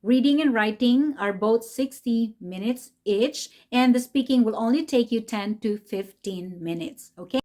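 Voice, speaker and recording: A woman in her forties with a Filipino accent, chatting upbeat to camera from a small home room, often adding a quick "okay?". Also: a little high, warm tone. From Philippines. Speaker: nurse vlogger. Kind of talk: casual explainer vlog.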